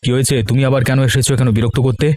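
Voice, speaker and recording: A man's voice: mid-range voice, little pitch movement, racing, a little mellow, lightly acted. A man voicing a curt, official village policeman in a cartoon. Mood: impatient. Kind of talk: cartoon voice acting.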